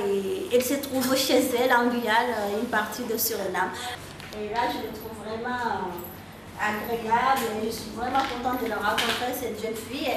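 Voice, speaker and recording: A woman from the Comoros, a hairdresser talking casually and proudly about her salon while she works, often repeating words. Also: on the high side. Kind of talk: casual interview talk while working. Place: hair salon. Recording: echoey room, distant mic, background noise.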